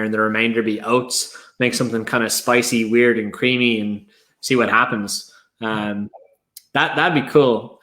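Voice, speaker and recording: A man's voice: mid-range voice, fairly bright tone. An Irish man hosting a casual live stream, telling stories with lots of fillers and restarts. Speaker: host.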